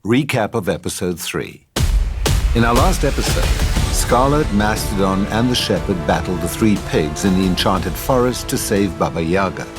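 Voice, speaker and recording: Casting: male narrator for a radio play table read, low register, music bed behind. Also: lively intonation, moderate pace. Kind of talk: scripted narration of stage directions.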